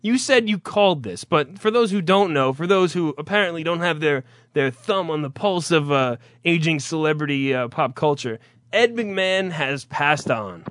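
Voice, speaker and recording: A man's voice: a little high, expressive, moderate pace, fairly bright tone, no echo, studio quality. A man hosting an internet radio show, teasing and profane in casual comic banter.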